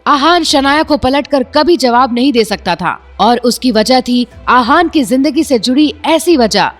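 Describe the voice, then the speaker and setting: A woman narrating a serialized audio drama with dramatic storytelling. Her voice sits on the high side, and faint music plays under the narration. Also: lively intonation, a little slow.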